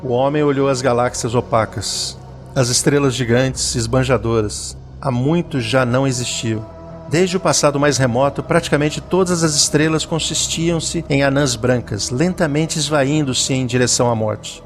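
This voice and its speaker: A man's voice: mid-range voice, normal speed. A man narrating a scripted audio drama in a solemn, literary reading style, with music behind him.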